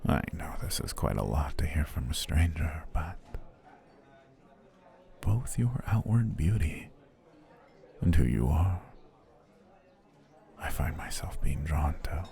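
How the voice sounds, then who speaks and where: Man voicing an obsessive potion seller, very deep voice, slow and soft-spoken, courtly whispers. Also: big pitch swings, vocal fry, heavily acted. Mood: adoring. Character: obsessive smooth-talking male potion seller, adult. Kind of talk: ASMR roleplay.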